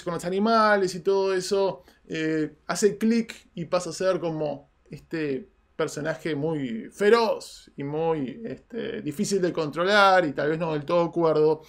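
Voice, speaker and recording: A man hosting film news in a small studio, talking animatedly in casual commentary. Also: high-pitched. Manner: animated, gestures a lot while talking. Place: small studio with red curtain backdrop.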